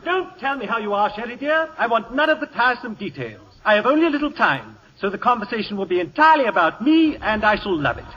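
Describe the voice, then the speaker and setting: Flamboyant, high-voiced, swooping radio dandy. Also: male voice, sing-song, fast, few pauses, sharp tone, exaggerated voice. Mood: breezy, self-satisfied. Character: flamboyant, gleefully self-absorbed celebrity visitor, effusive dandy. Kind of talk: 1950s radio comedy acting. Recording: dull, reverberant old radio recording.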